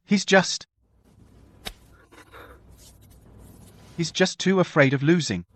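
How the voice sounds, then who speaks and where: A man's voice: fairly high pitch, expressive, normal speed, a bit bright. A man playing a middle-aged, concerned and conciliatory father in a scripted audio drama. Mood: pleading, gentle.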